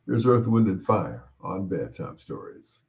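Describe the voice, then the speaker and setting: Slow-talking middle-aged man, low voice. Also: sing-song, creaky, heavy background noise. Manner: unhurried, rambling, with pauses and repeats. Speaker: host of a bedtime stories show. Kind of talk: casual chat, reminiscing and replying to listener comments.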